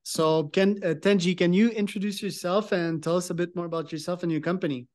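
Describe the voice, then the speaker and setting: A man's voice: high voice, fairly even intonation, brisk, a little mellow. A man hosting a podcast, casually introducing a guest and asking interview questions.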